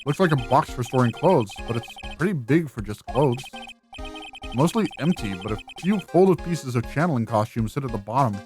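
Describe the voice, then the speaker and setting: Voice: medium pitch, expressive, normal speed, over music. A gamer doing a let's play, reading game text aloud and commenting casually. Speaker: video game streamer.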